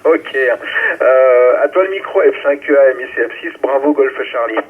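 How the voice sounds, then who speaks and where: A man's voice: mid-range voice, normal speed, husky. A man acting as amateur radio net controller, talking informally and calling stations over a radio link. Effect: ham radio transmission. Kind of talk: running a ham radio round-table, call signs. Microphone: radio transceiver microphone. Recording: muffled narrow-band amateur radio relay.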